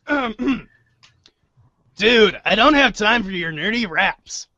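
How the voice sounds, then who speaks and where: A woman's voice: mid-range voice, expressive, takes it slowly, bright, forceful tone, dry recording, muffled recording. A woman acting in a casual comic table read, hesitant and a bit exasperated, breaking off for asides. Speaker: actor in a reader's theater show.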